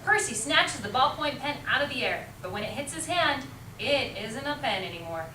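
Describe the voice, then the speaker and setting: A woman's voice: high voice, lively intonation, moderate pace, bright, forceful tone. A woman reading scripted story narration for amateur reader's theater. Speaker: teacher, narrator. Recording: echoey room, distant mic, clear noise.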